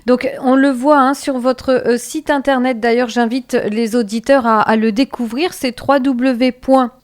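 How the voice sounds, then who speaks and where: A woman's voice: high-pitched, steady tone, a little slow, darkish tone. A woman hosting a radio interview, asking questions with frequent fillers and restarts. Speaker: radio host.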